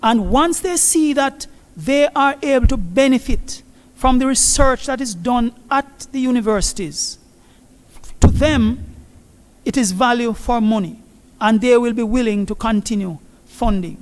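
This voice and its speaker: A man answering a question at an academic conference in a measured, formal way. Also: extremely high pitch. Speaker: conference presenter. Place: large conference hall. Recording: long hall reverb.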